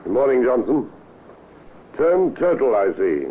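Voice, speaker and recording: An actor playing a brisk, musing police superintendent in an old-time radio drama, on a scratchy, tinny recording. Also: male voice, mid-range voice, big pitch swings. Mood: brisk, businesslike.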